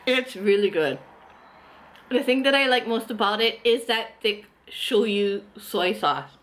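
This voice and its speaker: Woman, 30s, food vlogger, casual chatty review, lots of fillers, fairly high pitch, close camera indoors. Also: lively intonation, slow, a bit bright. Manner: chatty and enthusiastic, many "like" fillers. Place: small indoor room, eating at a table.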